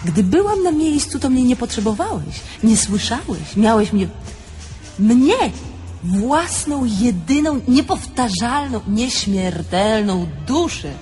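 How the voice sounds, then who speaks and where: An actress playing the mocking, blunt and crude-tongued soul of a dead young thief in a theatrical tragifarce. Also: female voice, fairly high pitch, big pitch swings, takes it slowly, a little mellow, soft background music. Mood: scolding.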